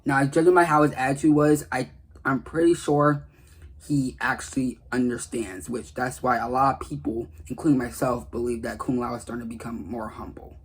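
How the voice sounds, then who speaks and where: A man's voice: mid-range voice, fairly even intonation, normal speed, slightly rough. A man giving casual, earnest commentary on game dialogue in a rambling, repetitive way. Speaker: gaming video creator.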